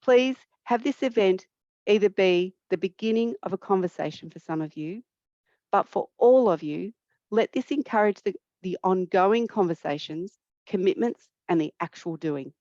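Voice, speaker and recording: A woman facilitating an online panel discussion, speaking in a measured way and reading a prepared welcome. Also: mid-range voice, animated, warm tone, no room sound, muffled recording. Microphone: video call.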